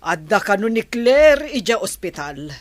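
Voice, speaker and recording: An actress playing a concerned, indignant grandmother-to-be in a radio drama, expressive and exclaiming. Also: mid-range voice, a little slow.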